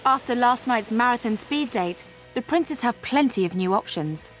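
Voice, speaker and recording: A woman's voice: fairly high pitch, expressive, moderate pace, poor audio. A woman reading scripted narration as the narrator of a documentary. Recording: music behind the voice.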